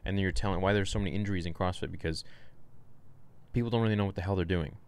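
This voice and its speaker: Young male fitness streamer, low voice, frank off-the-cuff Q&A, close desk mic in a small room. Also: fairly even intonation, racing, darkish tone, clean tone, dry recording. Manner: rambling, frank, swears casually. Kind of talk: casual livestream Q&A.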